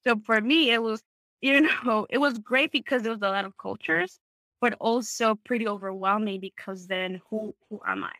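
A woman's voice: on the high side, normal speed, sharp tone, dry recording, rough recording. A woman from Peru tells her personal story in an open, reflective, conversational way, often checking in with small tag questions. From Peru, small town. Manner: reflective, open, often seeks agreement with tags.

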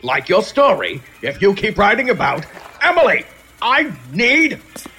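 Man playing a harried middle-aged editor, blustering, barking orders, high voice, bright tone, radio play. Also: huge pitch swings, a little slow, slight background noise, full character voice. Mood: exasperated. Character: exasperated middle-aged male newspaper editor with an ulcer. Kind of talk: old-time radio drama acting.

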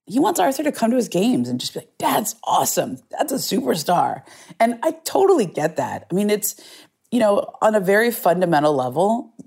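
A woman's voice: mid-range voice, very animated, fairly quick, gravelly, a little mellow, breathy edge. A middle-aged woman journalist telling a story in an earnest, reflective way, often restarting her phrases. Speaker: journalist guest. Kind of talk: conversational storytelling interview.

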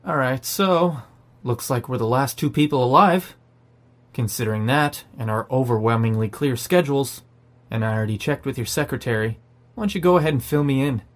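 A man's voice: mid-range voice, brisk, natural voice. A man playing a sarcastic, defiant, foul-mouthed zombie apocalypse survivor in an audio drama. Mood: mocking sarcasm. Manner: sardonic, profane. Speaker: narrator and lead character. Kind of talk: first-person audio drama narration and dialogue.

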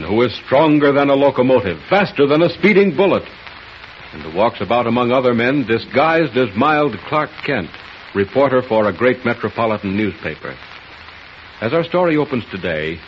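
An actor plays a grand male announcer of a 1940s radio serial. His low voice declaims in a heroic, dramatic manner. The old recording is dull, with a faint hiss behind him. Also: natural voice. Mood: grand, stirring.

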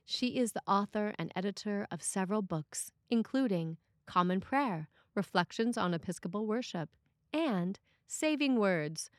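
A woman priest reading a scripted sermon in a measured, deliberate way. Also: medium pitch, expressive, no room sound, studio quality.

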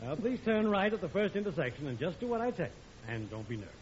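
An actor playing a stern, exasperated middle-aged American driving examiner in an old radio sitcom, speaking in an official, deliberate way. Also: male voice, high voice, sing-song, darkish tone, slight background noise. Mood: official, dry. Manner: deliberate, official, building to indignant speeches. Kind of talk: 1950s radio sitcom acting. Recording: muffled old radio recording with studio audience.

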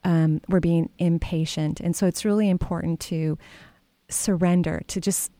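A middle-aged woman hosts a call-in radio show with a chatty, laughing, storytelling manner. Her voice sits fairly low, and the studio sound is dry and close. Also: steady tone, moderate pace, warm tone, dry recording. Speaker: radio show host and energy healer. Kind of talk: call-in radio advice chat.

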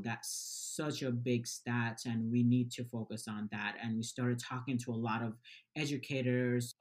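A man's voice: medium pitch, darkish tone. A man from Bangladesh, a nonprofit founder, answering interview questions earnestly with many fillers and "right?" tags.

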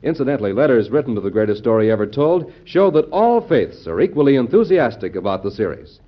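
A man's voice: medium pitch, very animated, fast. A man with an American accent reading scripted promotional announcements in a polished, emphatic 1940s network radio style. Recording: dull old radio broadcast, music behind. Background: music.

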